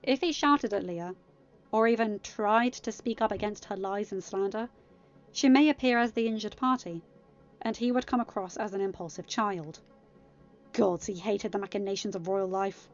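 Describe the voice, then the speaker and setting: A woman's voice: medium pitch, moderate pace, lo-fi sound. A woman reading scripted fiction as a story narrator. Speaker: podfic narrator. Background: music.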